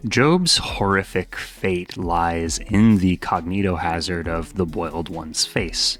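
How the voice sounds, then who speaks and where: Low-voiced man narrating a video essay. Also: a bit bright. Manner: wry, conversational. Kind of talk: scripted video essay narration with casual asides. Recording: music under the voice. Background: background music.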